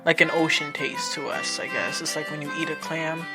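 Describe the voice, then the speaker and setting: A man's voice: fairly high pitch, steady tone, moderate pace, over loud music. A man chatting in a rambling, enthusiastic way. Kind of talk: casual vox-pop chat.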